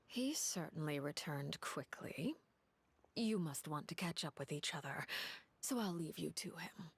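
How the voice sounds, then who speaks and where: A woman playing a poised, calculating villainess in a video game, courteous, formal and measured. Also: sits low, animated, creaky, a little hiss or hum, exaggerated voice.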